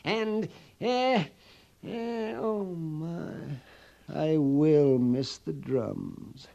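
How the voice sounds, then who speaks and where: An actor playing a mournful, fussy army sutler in an old-time radio western, speaking in florid, rambling laments. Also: male voice, a little high, very slow, vocal fry, dark tone, highs cut off, exaggerated voice. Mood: mournful, theatrical.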